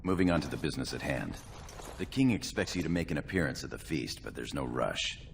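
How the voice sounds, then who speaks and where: An actor playing a wry, loyal warrior and second to a young noble lord in a fantasy game, gravelly and dryly deferential. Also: male voice, a bass voice, expressive, fairly quick, slight background noise, full character voice. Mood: wry, relaxed. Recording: music behind the voice.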